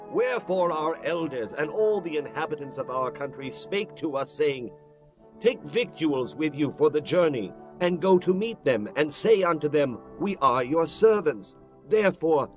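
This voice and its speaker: An actor playing a humble, wily ancient envoy who pleads solemnly and deliberately in a dramatized scripture audiobook. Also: male voice, fairly high pitch, a bit bright. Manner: solemn, deliberate biblical delivery.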